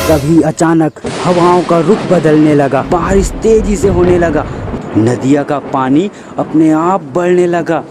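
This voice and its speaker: A man narrates a children's moral tale in a fairly high voice. His delivery is very slow and deliberate, as a scripted storyteller, with faint music underneath.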